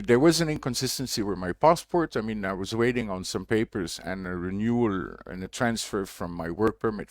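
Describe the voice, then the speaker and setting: A man's voice: on the low side, no room sound, clean studio sound. A man from Belgium, a diver running a reef conservation project, tells a long story in a casual livestream update, rambling with lots of fillers and restarts.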